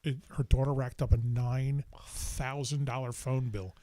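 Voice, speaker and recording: A man in casual podcast conversation who speaks at an unhurried, slow pace, pausing and restarting his sentences. He tells long stories in a halting way. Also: mid-range voice, little pitch movement, warm tone. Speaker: podcast guest, bass player and vocalist.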